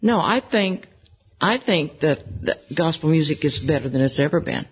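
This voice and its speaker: An older woman with a low voice, chatting as a guest in an interview and gently telling stories. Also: expressive, moderate pace, slightly rough. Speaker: interview guest, gospel singer. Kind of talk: casual interview conversation. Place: music convention. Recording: slightly dull lo-fi recording.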